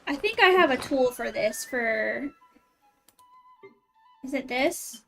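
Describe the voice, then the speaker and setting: A woman's voice: high-pitched, expressive, slow pace, creaky, fairly bright tone, soft background music. A woman game streamer chatting casually and playfully with viewers as she plays, teasing them. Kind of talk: casual live-stream chat over gameplay.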